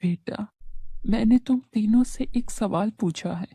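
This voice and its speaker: A woman voicing an ailing, gentle old mother who pleads softly with her sons in a children's moral story cartoon. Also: medium pitch, a little slow, slightly rough, dry recording, studio quality, pitched below the performer's own voice. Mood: weak, pleading.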